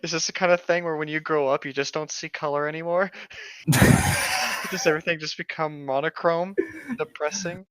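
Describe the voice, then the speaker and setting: A man's voice: a little high, fairly even intonation, moderate pace, fairly bright tone, clean tone. A man reading aloud casually and chatting as a comedy co-host, with wry, rambling asides. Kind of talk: casual read-aloud with joking banter.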